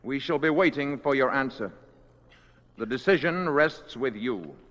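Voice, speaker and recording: A grave, measured alien emissary. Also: male voice, mid-range voice, animated, fairly bright tone. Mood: solemn warning. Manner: measured, formal, solemn. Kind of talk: old radio drama acting. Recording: dull vintage radio recording, hall reverb.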